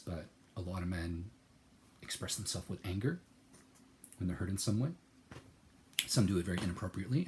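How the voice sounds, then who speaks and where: A man speaking in a personal, reflective monologue, earnest and searching, repeating words as he thinks and swinging from soft, lowered phrases to sudden loud, emphatic bursts. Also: a little hiss or hum. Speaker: channel host.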